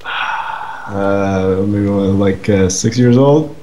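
A man from Quebec calling in to a podcast, chatting casually in a halting, hesitant way. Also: fairly low pitch, a little mellow, muffled recording, slight background noise. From Quebec, Canada. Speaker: podcast caller. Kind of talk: casual call-in chat. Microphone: remote call.

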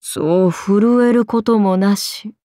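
A woman's voice: medium pitch, slow, warm tone, no room sound, clean studio sound, natural voice. A woman voicing a haughty, amused and predatory ancient serpent-god oni in a game, speaking in archaic, imperious, languid phrases. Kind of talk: Japanese game character voice lines.

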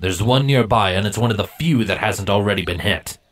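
A man's voice: on the low side, close to an everyday voice. A man voicing a brooding, firm male changeling squad leader in a fantasy story. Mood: stern, brooding. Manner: firm, terse, deliberate.

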